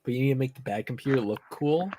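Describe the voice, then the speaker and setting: A man's voice: medium pitch, fast, mellow, a little hiss or hum. A man chatting casually, bantering in a playful, mock-serious way.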